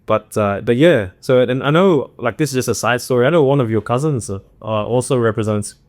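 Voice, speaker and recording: A man's voice: medium pitch, a little fast, a little mellow, dry recording. A man hosting a podcast, friendly and affirming, with casual slang. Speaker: podcast host. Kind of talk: casual interview chat.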